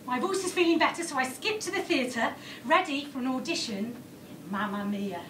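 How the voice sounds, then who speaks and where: Woman, stage storytelling, present tense, hushed, high voice, distant mic. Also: normal speed. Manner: present-tense narration, often low and hushed. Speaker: performer. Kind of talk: first-person theatrical storytelling. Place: theatre stage. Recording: distant, echoey room with background noise.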